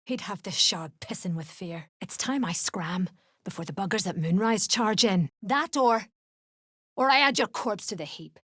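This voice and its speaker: Fantasy game duergar fighter, female: blunt, menacing, mid-pitched, dry studio sound. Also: expressive, moderate pace, fairly bright tone, husky, no room sound. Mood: sharp, threatening. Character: tough, foul-mouthed female duergar mercenary clan fighter, threatening. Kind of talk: fantasy game character acting.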